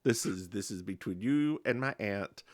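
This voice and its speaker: A man co-hosting a podcast, recapping a TV episode in casual, campy chat with animated storytelling. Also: normal speed, dry recording, studio quality. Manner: animated, campy storytelling with sudden high-pitched impressions. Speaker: podcast co-host.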